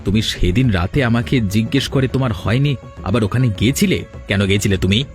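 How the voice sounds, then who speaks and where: An actor playing a stern husband and obedient son in a cartoon drama. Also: male voice, lowish voice, a little fast, soft background music, lightly acted. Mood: accusing.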